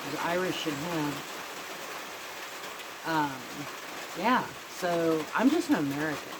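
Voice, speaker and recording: A woman rambles in casual chat from an outdoor porch, circling back over her points and breaking into excited exclamations. Heavy rain drums loudly on the tin roof above her the whole time, nearly swamping the voice. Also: low-pitched, slow pace, background noise. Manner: rambling, repeats herself, exclaims. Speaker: vlogger.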